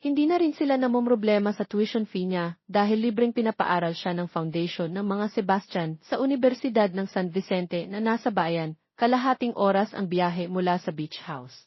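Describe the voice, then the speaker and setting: A woman's voice: medium pitch, moderate pace, no echo. Filipino woman narrating, dry dull sound. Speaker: story narrator. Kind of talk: scripted romance story narration.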